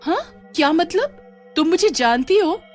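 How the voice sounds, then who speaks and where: A woman plays a frightened young college student in a cartoon horror story. Her voice is pitched high, urgent and pleading. Music runs behind her. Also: very animated, a little slow, full character voice. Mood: alarmed. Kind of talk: Hindi cartoon story acting.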